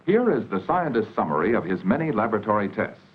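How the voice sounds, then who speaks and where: American male narrator, 1940s educational film style, dull vintage soundtrack, formal scripted delivery, animated intonation, rough-edged voice. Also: lowish voice, fast talker. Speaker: documentary narrator. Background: old film soundtrack.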